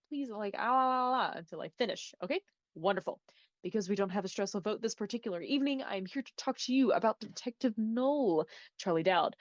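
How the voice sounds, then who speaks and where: A woman's voice: medium pitch, a little mellow, no echo. A woman recording a voice message, delivering a fan theory in a playful, dramatic, theatrical way. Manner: playful, dramatic, addresses listeners with pet names. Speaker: listener sending a voice message. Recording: close, dry, muffled lo-fi recording.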